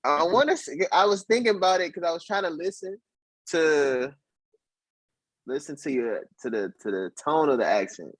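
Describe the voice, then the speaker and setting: A man's voice: fairly high pitch, lively intonation, normal speed, no room sound. Easygoing young man, dry close sound. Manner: easygoing, laughs between phrases. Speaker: guest. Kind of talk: casual chat.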